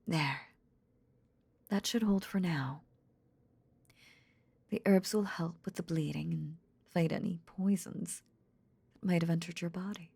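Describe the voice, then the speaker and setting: A woman playing a possessive lamia in an intimate roleplay audio drama, tenderly nursing in a breathy, half-whispered, seductive murmur with veiled menace. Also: lowish voice, dark tone, no echo, exaggerated voice. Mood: soothing, caring. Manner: slow, soothing, seductive murmur.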